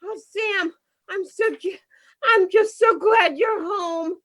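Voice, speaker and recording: Woman playing a very high-voiced, frightened, fretful wife. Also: slow pace. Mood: frightened, shaken. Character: frightened, anxious wife pleading with her husband. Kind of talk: radio play acting. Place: home, over a video call.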